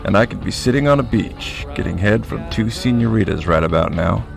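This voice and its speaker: Gravelly, domineering crime-boss father. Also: lowish voice, a little fast, music in the background. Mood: mocking, menacing. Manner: mocking, menacing, theatrical outbursts. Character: domineering, crude, sarcastic crime-boss father of grown son. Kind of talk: radio drama acting.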